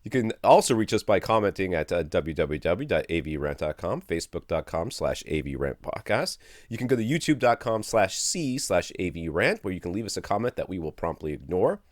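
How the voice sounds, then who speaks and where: A man in his forties hosting a casual podcast from a small home studio, rambling and animated, feeling run down and sucking a cough drop. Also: deep voice, brisk, no echo. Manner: rambling, animated, easily amused. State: under the weather, with a cough drop in his mouth. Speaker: podcast host. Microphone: studio mic with headphones.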